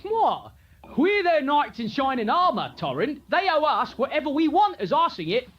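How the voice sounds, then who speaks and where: A man's voice: very high-pitched, wildly swooping pitch, normal speed, pitched above the performer's own voice, heavily acted. A man voicing a crude, leering male thug who jeers and swaggers. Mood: smug. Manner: jeering, lewd, swaggering. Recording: dull lo-fi recording.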